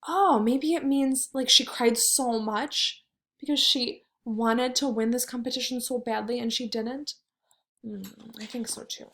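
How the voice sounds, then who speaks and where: A woman teacher doing a read-aloud for kindergarteners, wondering aloud and asking simple questions in an expressive, child-directed way. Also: fairly high pitch, measured pace.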